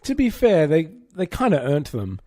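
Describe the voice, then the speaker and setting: A man's voice: fairly high pitch, wildly swooping pitch, moderate pace, mellow, dry recording, studio quality. A man co-hosting a podcast with casual, jokey banter, enthusiastic and happy to swear. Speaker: podcast co-host. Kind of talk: casual banter about films.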